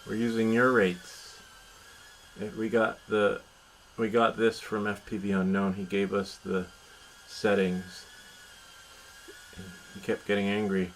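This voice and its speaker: A man's voice: on the low side, flat delivery, slow pace, long pauses, rough recording. A man giving casual, rambling live commentary as host of an indoor hobby how-to vlog, joking playfully at his own expense and muttering to himself. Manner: playful, self-deprecating, joking. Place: indoors in a house. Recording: constant background noise in room.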